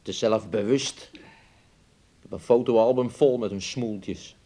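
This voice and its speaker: A man's voice: lowish voice, slow, dark tone. An actor playing a menacing, mocking young man in a radio play, taunting and wheedling as he speaks of himself in the third person. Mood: gloomy, brooding. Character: menacing, mocking young man bullying his sick fiancée. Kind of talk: Dutch radio play acting.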